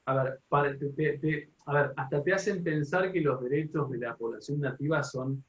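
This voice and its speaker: A man with an Argentine accent leading an informal class discussion as a teacher, often halting, repeating and rephrasing as he goes. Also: fairly high pitch, dull audio. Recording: echoey room, distant from microphone.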